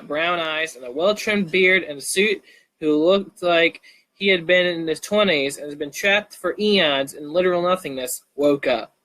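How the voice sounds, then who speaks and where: Male live storyteller, fairly high voice, informal reading with small stumbles and restarts. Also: pushed and bright, rough recording. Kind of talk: live story reading, animated narration with casual asides.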